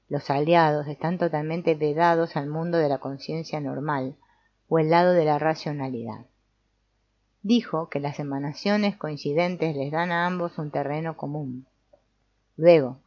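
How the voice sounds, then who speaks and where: A woman reads a book aloud as scripted narration, in a measured, didactic way. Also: low voice, darkish tone, muffled recording. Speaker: audiobook narrator.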